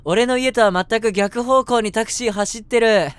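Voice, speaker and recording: A man's voice: very high voice, steady tone, normal speed, clean tone, no room sound. A man playing an eager, submissive, lovestruck young man in an audio drama, chatting and rambling to the listener with self-mockery. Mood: nervous, giddy. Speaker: voice actor. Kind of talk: situation voice drama, first-person monologue to the listener.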